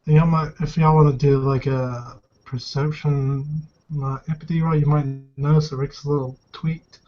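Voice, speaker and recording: Higher-pitched, even-toned man, muffled. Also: moderate pace. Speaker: tabletop roleplaying player. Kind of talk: casual tabletop game chat.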